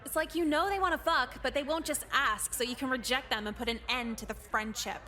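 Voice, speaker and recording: A woman voicing a sardonic, depressed teenage high school girl in a visual novel game, deadpan, weary and profane. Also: brisk. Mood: weary, sarcastic.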